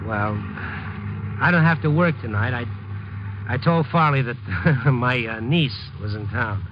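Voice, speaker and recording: A man's voice: mid-range voice, measured pace, noisy background, natural voice. An actor playing a wry, guarded newspaperman with a dry, clipped manner in a 1950s radio drama. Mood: shyly gruff. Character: wry, guarded male Chicago newspaperman narrating his romance. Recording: muffled 1950s radio broadcast, music behind.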